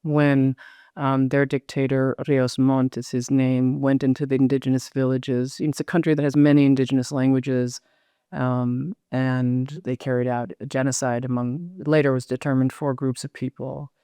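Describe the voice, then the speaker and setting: A woman's voice: very deep voice, level tone, moderate pace, dark tone, clean tone, no echo. A middle-aged American woman talking as an interview guest in a thoughtful, self-questioning, digressive way. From United States. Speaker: novelist, podcast guest. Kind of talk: reflective conversational interview.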